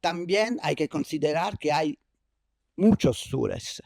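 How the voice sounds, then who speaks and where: A man's voice: on the high side, animated, takes it slowly, creaky, darkish tone, dry recording, studio quality. A man from Italy with an Italian accent, giving a conference lecture in a non-native language, hesitant with many fillers and restarts. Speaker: keynote speaker. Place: university lecture hall.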